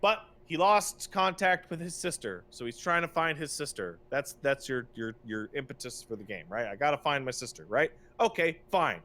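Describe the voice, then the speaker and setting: Emphatic, bright-voiced man ranting casually. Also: mid-range voice, clear, resonant tone. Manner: exasperated, emphatic, repeats phrases, swears freely. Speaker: podcast co-host. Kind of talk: casual opinionated rant.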